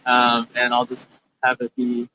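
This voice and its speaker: A man's voice: mid-range voice, monotone, unhurried. A young man hosting a charity livestream casually, rambling with many fillers and restarts. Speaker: livestream host. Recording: lo-fi livestream audio with faint noise.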